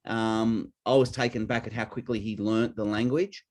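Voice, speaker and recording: A man's voice: lowish voice, steady tone, moderate pace, a little mellow. A man, speaking informally as an association representative in an online meeting.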